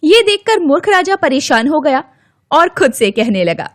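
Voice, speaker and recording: A woman storyteller reads a scripted children's tale. Her voice is high-pitched and swoops expressively, with a dry studio sound. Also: sing-song, moderate pace, no room sound.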